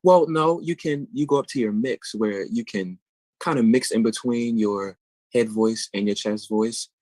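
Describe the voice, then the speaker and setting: A man's voice: mid-range voice, a little slow, a little mellow, no echo. A man, a singer answering interview questions and explaining vocal technique thoughtfully and at length. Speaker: guest singer.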